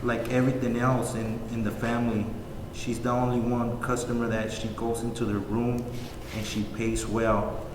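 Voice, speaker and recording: An echoey, noisy recording of a man acting a blunt, protective motel insider. His voice is mid-pitched, reading from a film script. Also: level tone, moderate pace, close to an everyday voice. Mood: guarded. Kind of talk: table read of a film script. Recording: echoey room, clear background noise.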